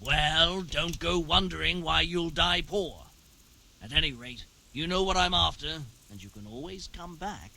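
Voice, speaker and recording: A man's voice: fairly high pitch, a little slow, gravelly, bright, forceful tone, a little hiss or hum, exaggerated voice, low-quality recording. A man playing a sly, greedy townsman who commissions thefts in a video game, talking in a knowing, theatrical, wheedling way. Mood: coaxing, dry. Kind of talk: dramatic video game dialogue.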